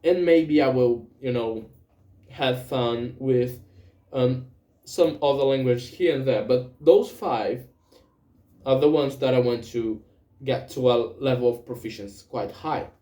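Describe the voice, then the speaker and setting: A man's voice: mid-range voice, measured pace, warm tone, echoey room. A young Brazilian man whose first language is Portuguese, speaking English with a Brazilian accent in a casual spoken journal, hesitant and full of fillers and self-corrections. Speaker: vlogger.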